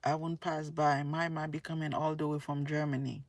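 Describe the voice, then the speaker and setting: A woman's voice: low-pitched, little pitch movement, moderate pace, dry recording. A woman giving a casual, earnest, rambling monologue to her viewers, often repeating her phrases. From Haiti. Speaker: tarot reader and spiritual video creator.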